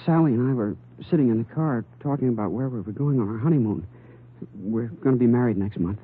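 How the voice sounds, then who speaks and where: An actor playing a hesitant, shaken twenty-year-old crime victim in an old radio drama. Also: male voice, mid-range voice, fast talker, husky, lightly acted. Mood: shaken, subdued. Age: 20s. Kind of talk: 1950s radio drama acting. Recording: tinny old radio recording.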